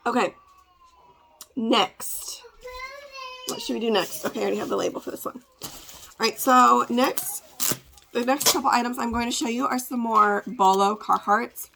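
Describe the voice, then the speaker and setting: A woman with a congested, raspy voice, chatting casually as she packs orders to ship at home, rambling with lots of fillers. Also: a little high, moderate pace, long pauses, background noise. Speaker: part-time online reseller. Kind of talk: casual ship-with-me vlog chat.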